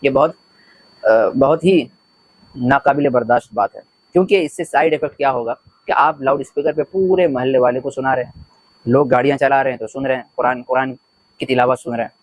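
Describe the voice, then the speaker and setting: A man's voice: medium pitch, no room sound, poor audio. A man giving religious commentary in an earnest, preachy way, building points through repeated lists. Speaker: religious commentator, video host. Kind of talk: spoken religious commentary to camera.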